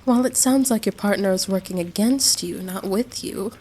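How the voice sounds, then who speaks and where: A woman playing a caring, motherly American wife in a roleplay audio drama, gentle and reassuring, full of endearments. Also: medium pitch, moderate pace, slight background noise, lightly acted.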